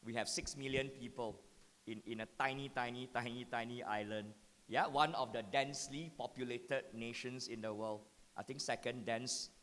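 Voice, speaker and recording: A man's voice: medium pitch, fairly bright tone. A man with a Singaporean accent giving a talk to teachers, repeating key points and checking in with the audience with "yeah?". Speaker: education speaker. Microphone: handheld mic. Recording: hall with long reverb.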